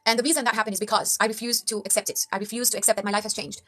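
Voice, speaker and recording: A woman's voice: mid-range voice, steady tone, very fast, fairly bright tone, faint music behind. A young woman from Kenya giving an earnest motivational talk to camera, preaching life tips to her viewers and calling them guys. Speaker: life coach vlogger.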